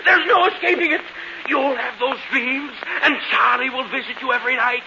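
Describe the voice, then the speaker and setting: An actor playing a scheming old uncle in a 1940s radio thriller, blustering, menacing and agitated. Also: male voice, very high-pitched, normal speed, gravelly. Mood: frenzied gloating. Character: scheming old villainous uncle, ranting and gloating. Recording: muffled, noisy 1940s radio recording.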